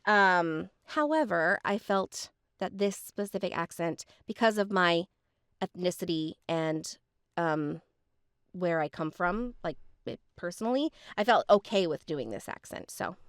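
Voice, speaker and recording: A woman's voice: on the low side, animated, unhurried, smooth, dry recording, clean studio sound. A woman hosting a podcast, chatting effusively with lots of fillers. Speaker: podcast host. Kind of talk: casual podcast chat.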